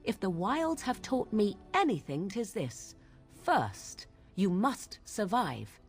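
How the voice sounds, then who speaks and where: A woman playing an aloof, sardonic young witch of the wilds in a video game, with husky, archaic, measured phrasing. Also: mid-range voice, huge pitch swings, faint music behind, exaggerated voice. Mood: grave, insistent. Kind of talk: video game dialogue acting.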